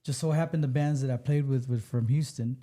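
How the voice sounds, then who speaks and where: A man's voice: medium pitch, fairly even intonation, a little fast, warm tone. A musician from Corpus Christi, Texas, a man talking in a dry, joking, matter-of-fact way. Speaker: guest musician. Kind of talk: casual interview.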